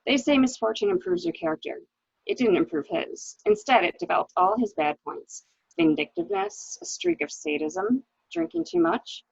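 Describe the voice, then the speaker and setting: A woman playing a bitter, expressive cornered wife. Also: high-pitched, normal speed, creaky, slightly rough, dry recording, full character voice. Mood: resigned, bitter. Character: resigned, bitter wife caught beside her murdered husband. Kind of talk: dramatic reading of a murder mystery. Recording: dull, lo-fi audio.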